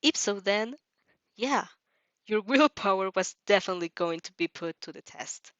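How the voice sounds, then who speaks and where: A woman reads fiction aloud with a casual, reactive delivery. Her pitch is average, and the sound is very dry with little room, on a slightly dull, lo-fi recording. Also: animated, normal speed, a bit bright, no echo. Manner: giggly asides, stumbling over words while reading. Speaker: reader reacting to fan fiction.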